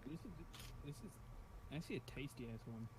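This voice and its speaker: A man's voice: mid-range voice, sing-song, fairly quick, darkish tone. A man chatting casually over voice chat while gaming, his voice crackly from drinking. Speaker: gamer on voice chat.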